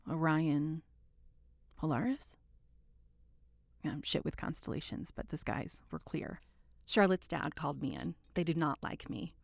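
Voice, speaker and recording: An actress playing an uneasy, brooding woman who haltingly records her account in a horror drama, with a husky, gravelly voice. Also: low voice, no room sound, exaggerated voice. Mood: hushed, brooding. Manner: halting, brooding, breaking into whispers.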